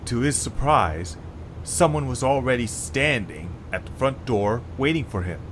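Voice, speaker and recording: A man's voice: medium pitch, animated, measured pace, a little hiss or hum. A man reading scripted storytelling narration for an audio drama.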